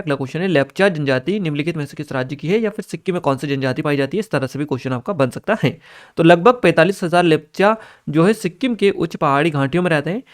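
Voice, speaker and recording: A man giving an exam-prep lesson, reading out questions and explaining the answers in an instructional way, checking in with listeners. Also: a little high. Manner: instructional, reads notes aloud, checks in with listeners.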